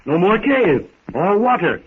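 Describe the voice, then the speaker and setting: A wild, powerful ape man from an old radio adventure serial. He speaks in blunt, halting fragments of broken English, commanding and terse. The recording is thin and tinny, with faint noise. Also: sits high, big pitch swings. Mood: grave, blunt. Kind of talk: 1930s radio serial acting.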